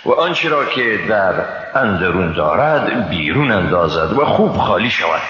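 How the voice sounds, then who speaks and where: Forceful, echoing Afghan Dari man reading scripture. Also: lowish voice, big pitch swings, slight background noise. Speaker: Quran translation reader. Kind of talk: solemn scripted religious reading. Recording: echoey hall reverb, slightly dull sound.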